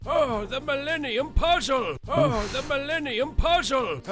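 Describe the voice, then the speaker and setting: A man voicing an excitable, senile old grandfather in a cartoon parody dub, theatrical and gleeful, with delusions of grandeur. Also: very high voice, a little slow, exaggerated voice. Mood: excited.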